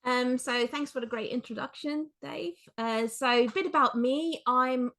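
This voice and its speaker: A woman's voice: sits high, unhurried, fairly bright tone. A woman from the Netherlands talking as a podcast guest, friendly and a little hesitant, with many fillers. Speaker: guest, author and coach. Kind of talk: casual interview chat.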